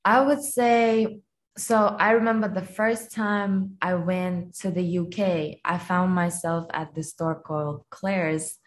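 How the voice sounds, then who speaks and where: A woman's voice: fairly low pitch, takes it slowly. Chatty young woman from Kazakhstan. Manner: relaxed and chatty, many fillers like "like" and "um". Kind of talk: casual podcast interview.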